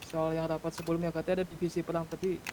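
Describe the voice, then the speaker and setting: A man's voice: a little high, monotone, racing, warm tone, a little hiss or hum. A young man with a colloquial Jakarta Indonesian accent, bantering casually in online game voice chat. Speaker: GTA roleplay gamer in a group meeting.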